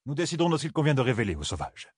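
A man's voice: mid-range voice, big pitch swings, racing, a little mellow, no room sound, clean studio sound, voice pushed up. A man voicing a stern, suspicious lord who mutters bluntly. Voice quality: muttering, gruff.

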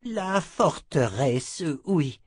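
A man's voice: on the high side, very animated, takes it slowly, dry recording, voice pushed up, exaggerated voice. A man voicing a kindly old wizard, weary and short of breath, in an audiobook fantasy tale. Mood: faint, gasping. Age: older. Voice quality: breathy, faltering.

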